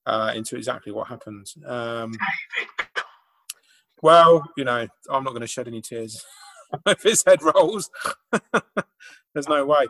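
A man's voice: medium pitch, a bit bright. A man with a mid-range voice co-hosts a casual chat podcast. He speaks bluntly and with emphasis, punctuating his points with dry asides. He comes across as a comedian in an offhand conversation.

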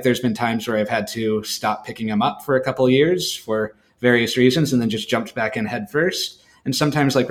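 A man with a mid-pitched voice chats casually as a co-host. His delivery is conversational and thoughtful, wandering from one phrase to the next. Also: a bit bright. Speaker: podcast co-host. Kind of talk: casual chat.

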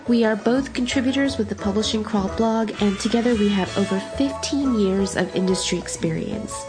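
A woman hosting a podcast, husky and stuffed up from a summer cold, talking casually with a rambling, self-deprecating manner. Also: mid-range voice, normal speed, over music. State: congested from a cold. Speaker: podcast host.

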